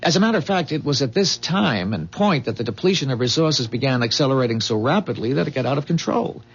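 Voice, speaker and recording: An actor playing a calm, earnest man from a dying future, explaining gravely in measured 1970s radio drama style. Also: medium pitch. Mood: calm, assured. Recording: dull, muffled 1970s radio drama recording.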